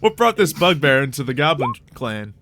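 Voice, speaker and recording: Man, game master, casual explanations, bright forceful voice, medium pitch. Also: very animated, normal speed, a little hiss or hum.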